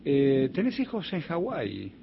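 Argentine male radio host, animated intonation, chatty, muffled sound. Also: on the high side, gravelly, slight background noise. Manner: chatty, quick interjections and questions. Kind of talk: radio interview. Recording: dull radio studio sound.

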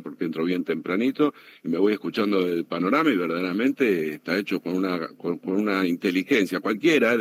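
Argentine man with a Buenos Aires accent, low voice, proud radio guest. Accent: Buenos Aires area Argentine Spanish. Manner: earnest, proud, many fillers and restarts. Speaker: public radio worker, guest. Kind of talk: radio interview answers.

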